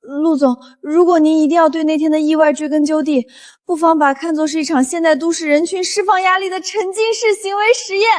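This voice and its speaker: An actress playing a flustered young office woman who stammers out theatrical, panicky excuses in an animated drama. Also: very high voice, brisk. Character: flustered young woman office planner faking a timid twin. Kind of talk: animated short drama dialogue.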